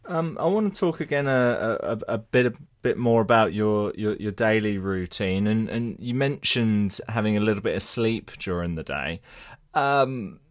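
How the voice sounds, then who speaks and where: A man's voice: lowish voice, animated, moderate pace. A man interviewing a guest, hesitating and repeating words as he forms his questions.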